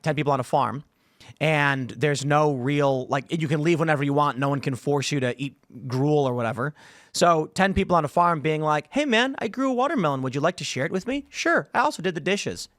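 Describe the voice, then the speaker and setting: A man with a voice a bit on the high side hosts a political panel podcast. He talks casually and with strong opinions, often voicing both sides of imagined exchanges. The sound is close and dry. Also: animated, a little fast, fairly bright tone, no echo. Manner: opinionated, acts out imagined dialogue. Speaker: podcast host.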